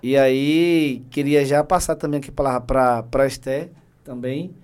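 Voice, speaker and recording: A middle-aged man hosting a casual live podcast, speaking haltingly with many fillers and joking asides. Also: medium pitch, fairly even intonation, a little mellow, clear, resonant tone. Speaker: podcast host, insurance team leader.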